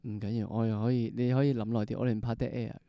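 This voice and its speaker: Smooth, mellow Hong Kong man hosting. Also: medium pitch, little pitch movement, rapid-fire, no echo. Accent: Hong Kong Cantonese. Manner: repeats words and phrases, mixes in English words. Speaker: podcast interview host. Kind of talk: casual interviewing.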